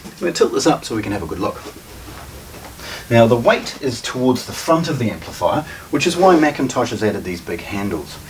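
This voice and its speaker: A man giving an unscripted product demonstration as a shop presenter, speaking in a measured, methodical way with halting phrasing. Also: medium pitch, very animated. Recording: distant mic with faint room noise.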